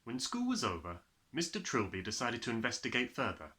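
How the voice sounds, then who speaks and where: A man reading a children's story aloud in an expressive narrating style. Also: medium pitch, a little fast. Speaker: audiobook reader.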